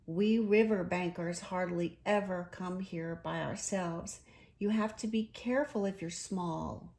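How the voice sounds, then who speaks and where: A woman voicing a practical, kindly male water rat in a children's story, brisk and reassuring. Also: lowish voice, a little mellow. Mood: soothing, practical.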